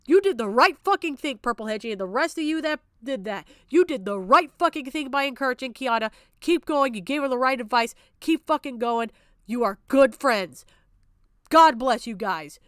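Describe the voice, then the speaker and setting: A woman's voice: sits high, animated, moderate pace, clean tone, no room sound, clean studio sound. A woman streamer giving heated off-the-cuff commentary, blunt and emphatic, swearing freely and repeating herself to make her point. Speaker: streamer reacting to a recorded stream.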